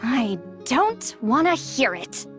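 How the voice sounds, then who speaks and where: A woman's voice: sits high, sing-song, slow, heavily acted. A woman playing a grumpy, easily embarrassed young-sounding woman in a video game, huffy and prone to stammer. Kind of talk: video game character acting. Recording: clear music behind.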